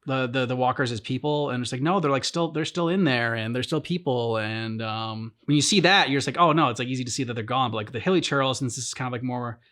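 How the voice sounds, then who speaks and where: A man with a mid-pitched voice gives casual reaction commentary. He meanders and hesitates, piecing thoughts together as he goes. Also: fast, few pauses, a bit bright. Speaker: reaction video host. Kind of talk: casual chat, game commentary.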